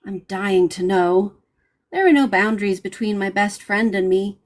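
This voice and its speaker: A woman narrator reading a novel's first page aloud in an expressive, dramatic way. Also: medium pitch, moderate pace. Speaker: audiobook narrator.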